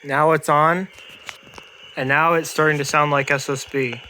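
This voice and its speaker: A man giving an unscripted show-and-tell as an amateur radio hobbyist, halting and often repeating and rephrasing himself. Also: on the high side, level tone, bright, forceful tone, slight background noise, poor audio. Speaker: amateur radio hobbyist demonstrating homemade gear.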